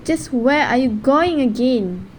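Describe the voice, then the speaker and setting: Woman acting a high-voiced, hurt young wife. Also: lively intonation, slow pace, slight background noise. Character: weary, hurt young mother and embittered wife. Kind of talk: amateur radio drama acting.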